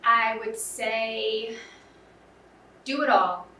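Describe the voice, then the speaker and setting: A young woman student talks earnestly in a casual interview, leaning on "um" and "you know" as she thinks aloud. Her voice is bright, and she sits back from the mic in a roomy space. Faint ambience hums behind her. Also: a little high, very slow, distant mic, slight background noise. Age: young adult. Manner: earnest, filler-heavy with frequent "um" and "you know".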